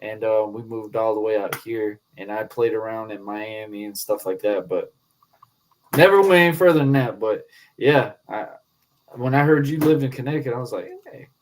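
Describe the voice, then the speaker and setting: A man's voice: fairly low pitch, normal speed, slight background noise, rough recording. A man hosting a podcast in casual chat, easygoing banter with frequent laughs. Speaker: podcast host.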